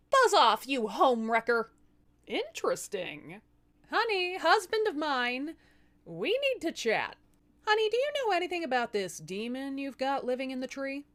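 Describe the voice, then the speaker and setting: Casting: woman playing bold young storybook wife, shrewd, sassy negotiator, highish lively voice. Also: full character voice. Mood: indignant, then probing. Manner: sassy, direct, modern slangy delivery.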